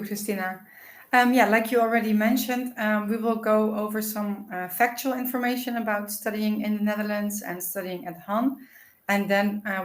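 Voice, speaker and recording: A woman's voice: medium pitch, reverberant. A woman hosting an informational webinar, explaining things in a friendly way with frequent "uh" and "um". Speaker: webinar host.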